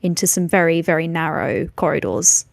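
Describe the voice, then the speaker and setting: Woman with creaky voice describing scenes. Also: low-pitched, normal speed, no echo, studio quality. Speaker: tabletop game player. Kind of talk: improvised scene description in a game.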